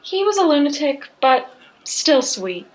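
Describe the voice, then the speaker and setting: A woman playing a sharp fifty-year-old aunt who is open to flattery, in a radio drama. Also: high voice, a little slow, low-quality recording. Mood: flattered. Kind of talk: school radio drama acting.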